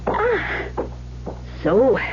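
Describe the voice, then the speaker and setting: An actress playing an older, brisk and affectionate piano teacher with an imperious, theatrical delivery in a radio drama. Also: female voice, high-pitched, huge pitch swings, exaggerated voice. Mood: brisk, commanding. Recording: dull lo-fi radio recording with hum.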